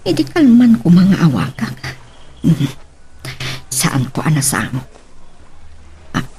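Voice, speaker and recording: A woman's voice: lowish voice, wildly swooping pitch, slow, warm tone, slight background noise, full character voice. A woman playing a scheming, calculating businesswoman in an Ilocano radio drama. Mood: brooding.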